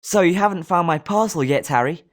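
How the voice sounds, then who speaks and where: Young British schoolboy wizard. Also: male voice, high voice, animated, brisk. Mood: friendly, polite. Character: schoolboy wizard student, polite but sometimes sneering. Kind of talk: scripted video game character lines.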